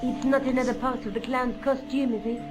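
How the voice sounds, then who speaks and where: A woman's voice: sits high, fairly even intonation, fairly quick, soft background music, close to an everyday voice. An actress playing a self-assured, knowledgeable young woman journalist in a video game, speaking in a matter-of-fact storytelling way. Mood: curious.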